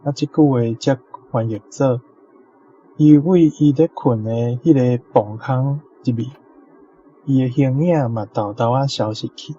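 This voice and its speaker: A man's voice: mid-range voice, a little slow, warm tone. A man with a Taiwanese accent carefully presenting translations as a teacher, in a dull lo-fi recording. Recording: dull lo-fi sound, faint noise.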